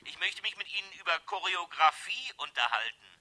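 A scheming, calculating male crook in a radio drama. His voice is forceful and swings widely in pitch. He speaks theatrically, as if plotting every move, nervous yet menacing. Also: very animated, brisk, no room sound, full character voice. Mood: smooth, menacing. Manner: theatrical, muttering asides to himself. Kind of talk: 1970s German radio drama acting.

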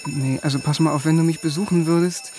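A man's voice: fairly high pitch, fairly even intonation, quick pace, a little mellow, a little hiss or hum, lightly acted. An actor playing a wry, self-deprecating young East German man who narrates his awkward youth in a German radio drama. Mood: awkward, coaxing. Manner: self-deprecating, wryly literate.

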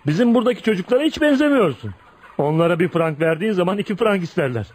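A man's voice: sits high, sing-song, quick pace, darkish tone. An actor playing a feared, ugly but kind-hearted tough man, blunt and probing, in a Turkish radio play. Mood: hearty, curious. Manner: blunt, probing, folksy, punctuated with "ha?". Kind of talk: Turkish radio theater acting.

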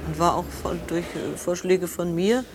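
An actress playing a bereaved sister who gropes for words in a creaky voice, in a naturalistic radio drama. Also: female voice, fairly low pitch, steady tone, background noise, natural voice. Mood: explanatory. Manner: halting, searching for words. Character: shaken woman, bereaved sister of a victim.

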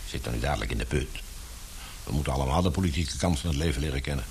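A man's voice: a bass voice, very fast. A man playing a stern but fatherly older newspaper magnate, worldly and authoritative, in a radio play. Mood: fatherly, measured. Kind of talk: radio drama acting. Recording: hissy old radio play recording.

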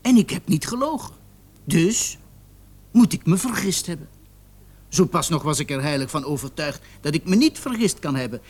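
A stubborn young man under police questioning, with a fairly high voice. He is insistent and easily indignant, hesitating and repeating himself when pressed, in radio drama style. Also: sing-song, moderate pace, darkish tone. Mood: reasoning, careful. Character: stubborn, indignant young male murder suspect under interrogation.

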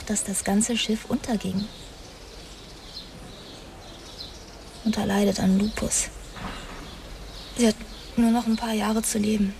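An actress playing a bitter, wounded young woman making a halting confession in a radio drama. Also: a little high, a little mellow, slight background noise. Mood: bitter, defiant. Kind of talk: dramatic radio play dialogue.

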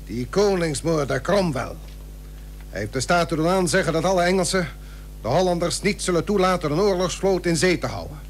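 Grave merchant statesman, fairly high voice, persuasive, formal archaic diction, radio drama, old humming recording. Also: expressive, a little fast, slight background noise. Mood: grave, indignant. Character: Lampsens, grave 17th-century merchant shipowner and statesman, persuasive. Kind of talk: Dutch historical radio play acting.

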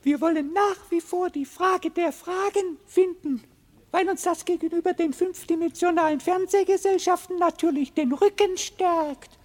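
Very high squeaky businesslike alien mouse. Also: moderate pace. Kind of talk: German radio comedy acting.

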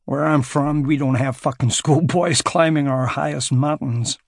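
A man's voice: mid-range voice, fairly even intonation, measured pace, creaky, no echo, clean studio sound. A man voicing a burly, crude American ex-marine security contractor with an American accent. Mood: brash. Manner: crude, swaggering, profane.

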